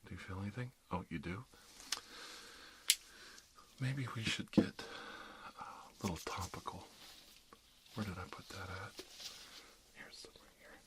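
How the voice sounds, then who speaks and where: A man playing a calm, reassuring dentist in an ASMR roleplay, speaking softly and often slipping into whispers. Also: low voice, measured pace, long pauses. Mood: gentle, focused. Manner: soft, often dropping into whispers, soothing. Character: calm reassuring dentist treating an undead patient. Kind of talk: ASMR roleplay, murmured one-sided dialogue. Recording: clear background noise, clicks.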